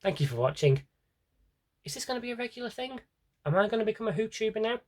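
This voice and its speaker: A man's voice: high-pitched, animated, quick pace, a little mellow. A young British man chatting casually through a show-and-tell, with dry, self-deprecating humour and rambling asides. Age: young adult. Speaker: video creator.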